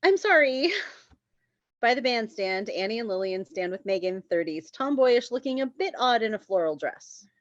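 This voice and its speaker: A woman's voice: mid-range voice, moderate pace, hardly any pauses, dry recording, lo-fi sound. A woman narrating screenplay stage directions at a table read.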